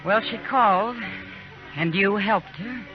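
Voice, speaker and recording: A woman playing a knowing, caring frontier friend, gentle and probing, in a 1950s radio western. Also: mid-range voice, slow pace, close to an everyday voice. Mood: wistful. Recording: muffled old radio recording with music.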